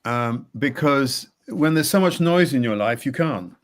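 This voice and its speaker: Guy, coach, talks real slow and thoughtful, mid-pitch. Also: lively intonation. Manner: slow, measured, with repeated words and soft laughs. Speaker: executive coach. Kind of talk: reflective podcast conversation.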